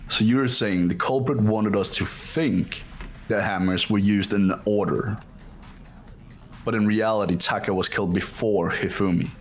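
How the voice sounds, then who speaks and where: A man playing a serious, exacting student in an amateur game dub, speaking deliberately. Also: lowish voice, soft background music, lightly acted. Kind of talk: scripted amateur visual novel voice acting.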